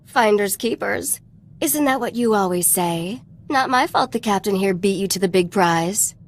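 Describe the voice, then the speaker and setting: A woman's voice: mid-range voice, expressive, normal speed. A woman playing a shrewd, self-assured young smuggler in a video game, cool and wry. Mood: teasing confidence.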